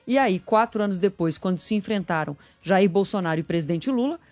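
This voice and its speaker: A woman's voice: medium pitch, a little fast. A woman from Minas Gerais, Brazil, co-hosting a live news radio show, chatting and joking between reports. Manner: chatty, joking, animated asides. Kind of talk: casual live news chat.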